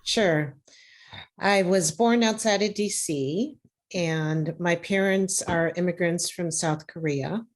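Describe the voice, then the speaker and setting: A woman's voice: on the low side, slow pace. A middle-aged woman from the American Midwest, an interview guest answering in a friendly way and laughing easily. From Milwaukee, Wisconsin, USA. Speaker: guest, chief legal officer. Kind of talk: interview conversation.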